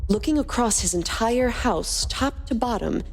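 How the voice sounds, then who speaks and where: A woman's voice: on the high side, expressive, unhurried, slight background noise, rough recording. A woman co-hosting and narrating a fan fiction podcast in a scripted storytelling style.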